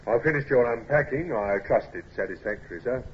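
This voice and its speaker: A man's voice: medium pitch, expressive, a little fast, natural voice. An actor playing a discreet, deferential ship's manservant in a radio drama, polite and tentative in his speech. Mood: deferential, careful. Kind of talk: old-time radio drama acting. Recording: muffled, hissy old radio recording.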